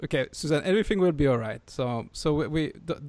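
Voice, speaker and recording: Podcast host, male, French accent, bit high-pitched, dry close sound, playful and halting. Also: lively intonation, a little mellow, no room sound. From France. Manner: joking, halting, repeats and restarts words. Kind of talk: casual playful interview chat.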